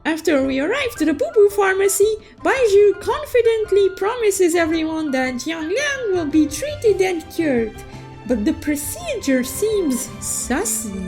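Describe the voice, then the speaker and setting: A woman voicing a cute, excitable young unicorn who recaps a story with playful, theatrical exclamations. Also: very high voice, exaggerated voice. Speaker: storytelling host. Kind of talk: animated story recap narration.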